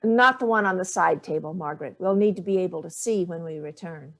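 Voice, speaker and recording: A woman's voice: fairly low pitch, fairly quick, a bit bright. A woman in a staged play reading, playing an imperious, cutting grandmother with a dry, lofty manner.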